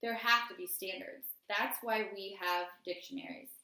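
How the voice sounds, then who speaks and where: A woman voicing a formal, reasonable female school principal in a storybook, measured and diplomatic. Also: mid-range voice, steady tone, creaky, bright, forceful tone. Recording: roomy, echoey indoor sound.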